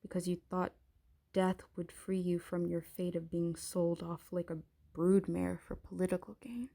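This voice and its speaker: A woman playing a haughty, menacing dragon queen in an intimate ASMR roleplay, speaking in an imperious, taunting, deliberate way. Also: lowish voice, steady tone, vocal fry, dark tone. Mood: bitter.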